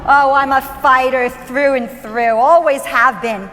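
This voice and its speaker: Woman acting a feisty, frail old woman, slow-spoken. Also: sits high, steady tone, long reverb tail, slight background noise. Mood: wry, defiant. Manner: folksy, wry, emphatic, theatrical. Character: frail, feisty, wisecracking, kind-hearted elderly mother near death. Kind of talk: staged musical theatre, spoken and sung. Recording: live stage performance over loud piano. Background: piano accompaniment.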